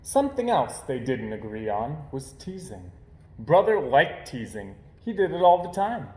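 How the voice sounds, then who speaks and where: Animated man reading a storybook, roomy sound. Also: mid-range voice, unhurried. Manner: animated, dramatic emphasis. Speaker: storybook reader. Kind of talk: expressive read-aloud of a children's picture book.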